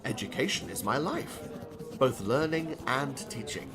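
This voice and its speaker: An older retired professor and novelist played by a man, genial and a little corny. His pitch moves expressively as he speaks with courteous, philosophical warmth in an audiobook drama. A crowd murmurs behind him. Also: big pitch swings. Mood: cheerful. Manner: courteous, gently philosophical, measured.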